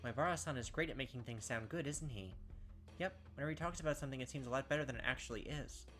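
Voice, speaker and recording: Bright-voiced man doing gameplay commentary over music. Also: mid-range voice, fast talker. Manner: animated, puzzled reactions and speculation, mimicking characters. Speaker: let's-play host. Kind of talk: gameplay commentary mixed with reading visual novel text aloud. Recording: music playing behind the voice. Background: game background music.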